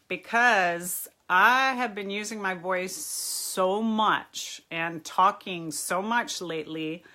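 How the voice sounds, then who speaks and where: A middle-aged woman therapist chatting casually on a live stream, her voice a little raspy from overuse, greeting viewers by name. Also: mid-range voice, pushed and bright. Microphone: phone or webcam, live stream.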